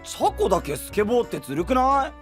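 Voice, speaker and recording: An actor playing an earnest, boyish young traveling knight with casual, grumbling speech, in an anime audio drama. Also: male voice, extremely high pitch, big pitch swings, fast, over music.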